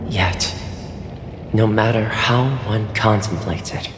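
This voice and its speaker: Slow, solemn male storyteller. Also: lowish voice, steady tone, background noise. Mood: portentous. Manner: grave, deliberate, dramatic. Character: solemn male storyteller recounting a cosmic legend. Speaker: narrator. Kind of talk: scripted epic narration for a video game. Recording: long hall reverb with music behind.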